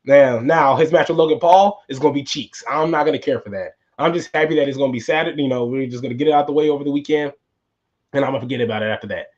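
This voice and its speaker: A man hosting a solo wrestling recap podcast, tired with a voice going hoarse, talking in a casual, rambling way that repeats itself. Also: medium pitch, rapid-fire, darkish tone, highs cut off. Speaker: podcast host.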